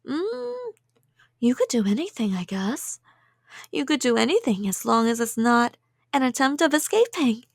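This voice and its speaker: A woman's voice: a little high, sing-song, moderate pace, gravelly, no echo, clean studio sound, heavily acted. A woman playing an obsessed young yandere kidnapper in an intimate ASMR roleplay, rambling coyly and sweetly with menace. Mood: musing, sweet. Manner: rambling, giggly, coy, threatening in a sweet tone.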